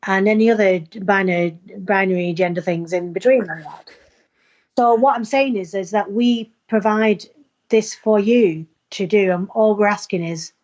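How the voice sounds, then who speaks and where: An actress talks in an informal interview, earnest and full of emphatic enthusiasm. Her voice sits at an average pitch for a woman. The recording is slightly dull and lo-fi. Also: normal speed, darkish tone. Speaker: actor being interviewed. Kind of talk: informal interview, promoting an audio play.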